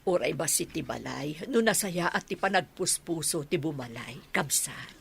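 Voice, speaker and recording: Woman playing an animated, indignant older Ilocano mother. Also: low voice, moderate pace, gravelly, slight background noise, exaggerated voice. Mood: earnest. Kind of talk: Ilocano comedy radio drama acting.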